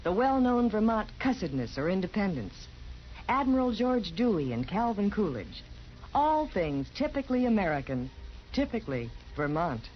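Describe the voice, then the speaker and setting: A woman's voice: mid-range voice, sing-song, normal speed. A woman announcer reading scripted narration on an old radio broadcast. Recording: dull, faintly noisy vintage radio recording.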